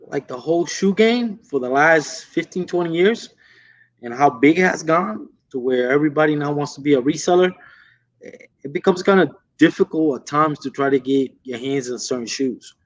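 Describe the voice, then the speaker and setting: A man casually explaining sneakers in quick, rambling stretches. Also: fairly high pitch, low-quality recording. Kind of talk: casual chat.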